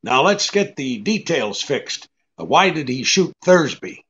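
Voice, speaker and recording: Man playing a hard-boiled detective, animated delivery. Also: medium pitch, muffled recording. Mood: confident. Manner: brisk, hard-boiled, commanding. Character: tough, cool, shrewd male private detective. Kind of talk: old-time radio drama acting.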